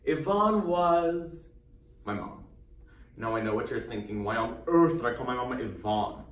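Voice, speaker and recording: A man's voice: medium pitch, lively intonation, moderate pace, natural voice. A man performing a theatrical solo monologue as a needy, troubled teenage boy magician who delivers showman patter and swings abruptly between coy and explosive. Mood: confiding, eager. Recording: roomy, distant mic, faint noise.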